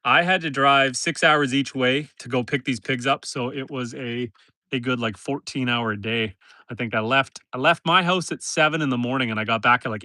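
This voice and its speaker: A relaxed homesteader man, stuffy from a swollen nose, chats easily with viewers in an unhurried, conversational way. His voice sits at an ordinary pitch and sounds close and dry, with no room echo. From northern Canada. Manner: relaxed, chatty, talks to animals and viewers. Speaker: homesteader livestreaming. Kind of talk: casual live stream chat. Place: outdoors in a forest pen.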